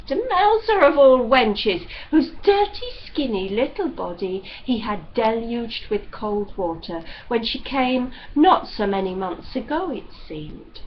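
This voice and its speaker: Expressive, unhurried woman reading a novel. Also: fairly high pitch. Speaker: audiobook reader. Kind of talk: expressive scripted literary narration. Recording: dull lo-fi audio with light noise.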